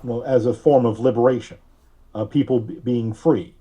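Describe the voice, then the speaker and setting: A man giving measured, carefully worded explanations as a podcast guest. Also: medium pitch, fairly even intonation, warm tone, slightly rough. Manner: measured, deliberate, precise wording. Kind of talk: explanatory interview talk.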